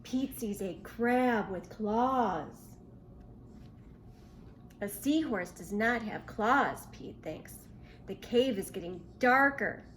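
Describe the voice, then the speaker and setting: A woman reading a picture book aloud to young children in an expressive, questioning way. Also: on the high side, slow, a little hiss or hum. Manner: expressive, asks the listener questions. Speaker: children's storybook reader.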